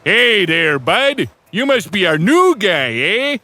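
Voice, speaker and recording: A man's voice: high-pitched, sing-song, slow, bright, forceful tone, exaggerated voice. A man voicing a big, fake-friendly Canadian hunter with a folksy, chummy manner and a Canadian accent in an anime parody. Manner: folksy, chummy, tagging "eh" and "don't you know". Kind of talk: comedic anime parody voice acting.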